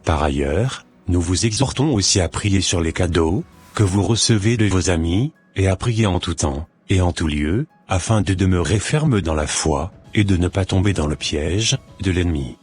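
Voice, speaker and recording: Casting: male narrator, very low and husky, solemn scripted exhortation. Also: animated, moderate pace, a little mellow, faint music behind. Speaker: narrator and host.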